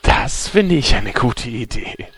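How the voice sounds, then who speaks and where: Guy playing a creepy knife killer, slow, bright sharp voice. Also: medium pitch, husky, heavily acted. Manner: calm, creepily casual.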